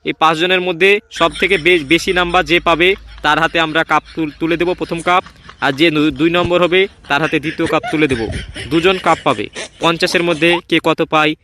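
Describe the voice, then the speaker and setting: A man voicing an officious schoolmaster judge in a comedy cartoon, announcing in a halting, deliberate way. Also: on the high side, steady tone, bright, forceful tone.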